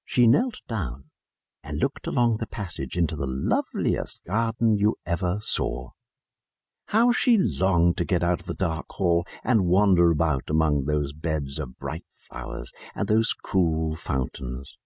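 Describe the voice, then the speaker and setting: A man narrating a children's storybook as a scripted audiobook, in an expressive storytelling manner. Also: low voice, unhurried, husky, dry recording, studio quality.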